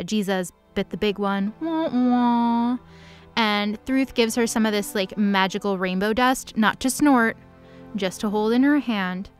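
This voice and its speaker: A woman giving a casual, comedic recap of a story in slangy language and acting out the dialogue. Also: fairly high pitch. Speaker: co-host recapping a story series.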